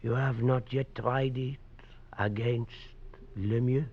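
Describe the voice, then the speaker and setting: A wise old knife maker speaks with a creaky, gravelly voice. He is grave, fatherly and cryptic, in old radio drama style on a dull recording. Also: mid-range voice, fairly even intonation, slow pace. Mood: grave warning. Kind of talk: 1940s radio drama acting.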